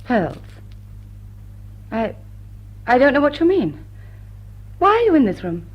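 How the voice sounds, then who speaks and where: A cool, flirtatious society lady thief in a period radio drama. Her voice sits high and swoops with lively intonation. The delivery is arch and poised with dry wit, and the audio is slightly dull. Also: takes it slowly, heavily acted. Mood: flustered, indignant. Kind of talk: classic stage comedy radio drama acting.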